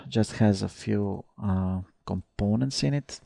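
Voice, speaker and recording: A young man from Croatia, a native Croatian speaker, talking through a software screencast step by step with frequent "uh" fillers. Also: on the low side, slow pace, creaky, no echo, studio quality. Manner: hesitant, many "uh" fillers, step-by-step narration. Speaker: software tutorial presenter. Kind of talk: screencast tutorial.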